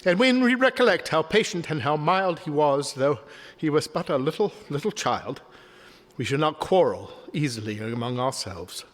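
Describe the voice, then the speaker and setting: Casting: loving, grieving Victorian father, radio drama; hesitant, tremulous, very expressive. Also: fairly high pitch, moderate pace. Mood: grieving, fond. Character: meek, loving, bereaved Victorian father holding back tears. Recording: reverberant hall sound.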